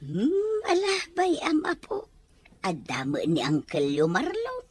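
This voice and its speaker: A woman playing an elderly, tender but sharp-tongued Filipino grandmother with an Ilocano accent and husky voice in an audio drama. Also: high voice, wildly swooping pitch, unhurried, dry recording, exaggerated voice. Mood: tender, moved.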